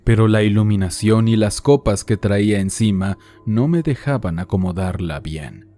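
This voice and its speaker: A man calmly narrating horror stories sent in by listeners, in a measured, somber reading. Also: lowish voice. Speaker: horror story channel narrator. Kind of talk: scripted horror narration read from listener letters. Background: faint music.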